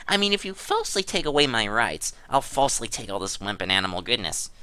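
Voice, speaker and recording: Up close and dry, a man acts out an aggrieved gamer with a bright, forceful voice. The delivery is theatrical and indignant, swinging widely in pitch as he defiantly denies everything. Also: big pitch swings, fast talker, clean tone, dry recording. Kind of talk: dramatic reading of online chat drama.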